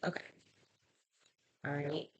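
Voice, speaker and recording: A woman conducting a clinical intake interview as a health professional, conversationally checking and repeating answers. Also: takes it slowly. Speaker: health professional taking a patient history.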